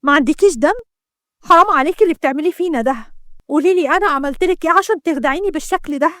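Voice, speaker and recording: A woman's voice: very high voice, animated, fast talker, no room sound, studio quality, pitched above the performer's own voice. A woman voicing a tearful, wronged young woman who pleads emotionally in Egyptian Arabic. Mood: hurt, accusing.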